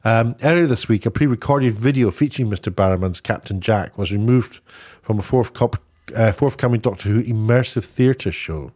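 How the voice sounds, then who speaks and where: A man's voice: on the low side, normal speed, darkish tone. A man presenting an online video, giving off-the-cuff, opinionated news commentary in a rambling way, often rephrasing himself.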